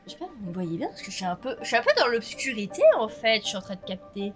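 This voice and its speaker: A woman's voice: mid-range voice, sing-song, fast talker. Chatty young woman, nasal from a cold. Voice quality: slightly nasal. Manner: chatty, laughs often, talks to viewers. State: stuffy nose from a cold. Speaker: let's play streamer. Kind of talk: casual gameplay commentary. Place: at home. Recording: game music behind the voice. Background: game music.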